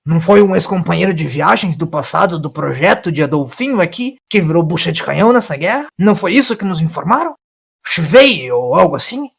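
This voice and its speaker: Guy doing a grumpy old time traveler, loud, accusing, sarcastic, kinda raised pitch, audio story. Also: lively intonation, brisk, creaky, slightly rough, exaggerated voice. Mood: accusing, indignant. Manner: forceful, scolding, sarcastic rhetorical questions.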